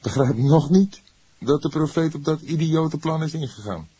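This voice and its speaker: Male narrator as young male suspect, raised pitch, husky edge, earnest, defensive, emphatic, audiobook dialogue. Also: normal speed, warm tone, no echo. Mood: earnest, indignant. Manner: emphatic, flaring into defiance.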